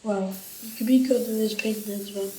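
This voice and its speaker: A woman's voice: medium pitch, fairly even intonation, normal speed, warm tone. A young woman giving her opinion in casual conversation. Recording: roomy, distant, faint noise.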